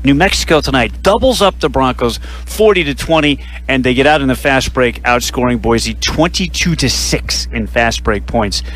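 Radio sportscaster reading game stats. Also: male voice, mid-range voice, fairly bright tone, poor audio. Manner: stat-heavy, measured delivery with sponsor reads. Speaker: radio play-by-play announcer. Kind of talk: radio postgame broadcast.

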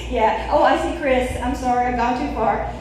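A woman's voice: a little high, fairly even intonation, moderate pace, a little mellow. A middle-aged woman doing a brash, profane, opinionated stand-up routine on a small club stage with a handheld mic. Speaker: stand-up comedian. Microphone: handheld stage mic, recorded from the audience. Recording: very echoey room, distant mic, faint noise.

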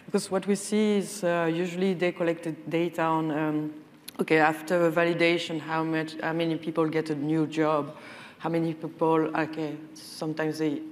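A woman answering on a conference panel in a halting way, through a lapel mic. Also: sits low, little pitch movement. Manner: halting, many fillers and restarts. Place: large conference hall stage. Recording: reverberant hall, faint ambience.

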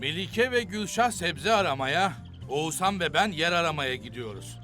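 An actor playing a kindly, encouraging leader of a nomad camp in an animated children's series. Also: male voice, on the high side, moderate pace, soft background music. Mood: steady, instructing.

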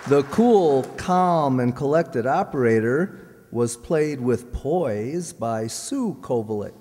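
A man's voice: mid-range voice, lively intonation, slow pace, dark tone, some chatter behind. A man reading out cast credits to an audience as announcer, with joking asides. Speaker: announcer of a community radio players' show. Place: large hall stage, indoors. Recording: echoey hall, audience noise.

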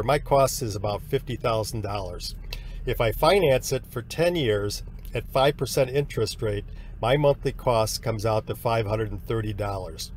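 A man's voice: mid-range voice, a little hiss or hum. An older man hosting a homestead vlog, explaining barn building in a plainspoken, folksy way on a lapel mic. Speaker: homestead channel host. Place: indoor room, later inside a metal pole barn.

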